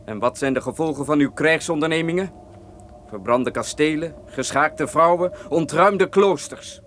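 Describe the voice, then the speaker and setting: A man's voice: fairly high pitch, moderate pace, fairly bright tone, a little hiss or hum, lightly acted. An actor playing a righteous, defiant nobleman and protective brother, declaiming solemnly in an old-fashioned radio drama. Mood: indignant, defiant.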